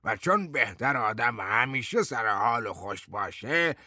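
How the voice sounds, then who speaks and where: A man's voice: medium pitch, expressive, pushed and bright, no room sound, clean studio sound, voice pushed down. A man voicing a sullen, ragged old father-figure who lectures like a stern schoolmaster in an audiobook story. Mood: stern, solemn. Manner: stern, deliberate mock-schoolmaster delivery.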